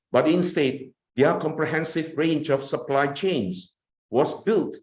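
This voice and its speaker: A man reading a formal welcome address at a webinar, in a measured, oratorical manner. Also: fairly high pitch. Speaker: conference speaker giving welcome address. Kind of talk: formal read speech. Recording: echoey, dull webinar audio.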